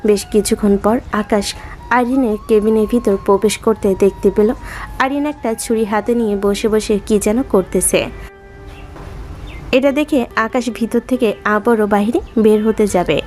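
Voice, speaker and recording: A woman's voice: medium pitch, a little slow, a little mellow. A woman reading scripted dramatic story narration as the narrator. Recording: music under the voice.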